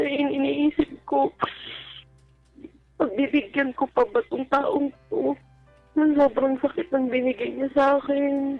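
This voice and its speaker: Filipina woman calling into a radio show, gravelly voice, polite, telling her personal story, dull audio w music behind. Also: high voice. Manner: polite, deferential. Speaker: caller seeking advice on a radio show. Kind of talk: emotional personal account, conversational.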